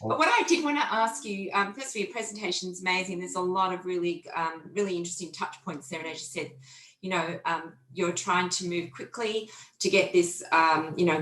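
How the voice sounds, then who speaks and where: A woman's voice: on the low side, moderate pace, a bit bright, slight background noise. A woman moderating a seminar Q&A over a video call, enthusiastic and chatty. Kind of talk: moderating a panel Q&A. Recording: echoey room on a call.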